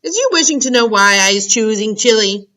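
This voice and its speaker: A woman voicing a huge, greedy male giant who booms and shouts in broken grammar. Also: animated, brisk, distant mic. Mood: menacing. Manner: booming, shouting, ungrammatical giant talk.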